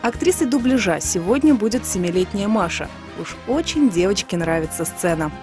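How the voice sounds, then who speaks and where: A woman's voice: medium pitch, expressive, moderate pace. A woman reporter reading scripted narration for a television feature. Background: music.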